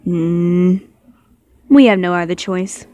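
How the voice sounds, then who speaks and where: A woman's voice: on the low side, slow, mellow, no echo. A woman voicing fond, easily flustered young men with a sighing, mildly exasperated manner in an amateur comic dub.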